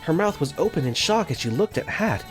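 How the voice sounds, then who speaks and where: A young man voicing an earnest, caring dorm caretaker for a fan dub, gently narrating his own thoughts in first person. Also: fairly high pitch, very animated, brisk. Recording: faint music under the voice.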